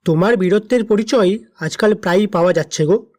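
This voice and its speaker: A man's voice: sits high, a little fast, darkish tone, close to an everyday voice. An actor playing a teasing, deferential young man in his twenties, cheeky and coaxing, in an audio drama. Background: music.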